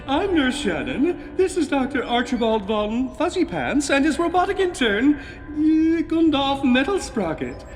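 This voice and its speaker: An actor voicing a bumbling man who poses as a coy, sweet female nurse in a video game, in sing-song falsetto. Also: big pitch swings, a little slow, faint music behind, exaggerated voice, low-quality recording. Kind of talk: video game cutscene acting.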